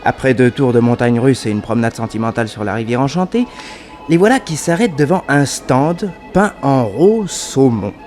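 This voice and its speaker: An actor playing a detective's nephew who narrates a mystery to the listener in a familiar, confiding radio-drama manner. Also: male voice, medium pitch, lively intonation, moderate pace, darkish tone, faint music behind, close to an everyday voice. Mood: confiding, amused.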